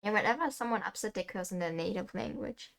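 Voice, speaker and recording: A woman's voice: mid-range voice, fast talker, gravelly, fairly bright tone. Chatty young woman streamer. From Germany. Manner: chatty and rambling, laughs often. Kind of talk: casual chat while playing a game.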